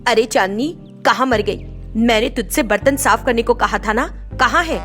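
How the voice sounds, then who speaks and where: A woman's voice: on the high side, moderate pace, fairly bright tone, faint music behind, lightly acted. A woman voicing a cruel, greedy stepmother in a story, harsh and threatening in manner. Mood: angry scolding.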